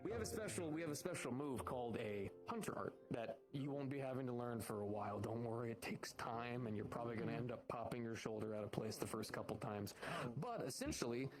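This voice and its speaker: A man's voice: medium pitch, animated, a little fast, natural voice, low-quality recording. A man improvising an encouraging veteran monster hunter in virtual reality roleplay, explaining things to a rookie and repeating phrases for emphasis. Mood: instructive, casual. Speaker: roleplayer playing a guild instructor. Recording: loud music behind a livestream voice chat. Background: loud music.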